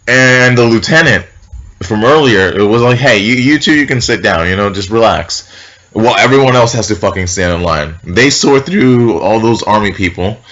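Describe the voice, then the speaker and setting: A young man with a low, heavy, loud voice tells a story in an animated, profane way, loudly acting out the lines he quotes. The recording sounds dull and lo-fi. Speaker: vlogger. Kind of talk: casual storytelling vlog.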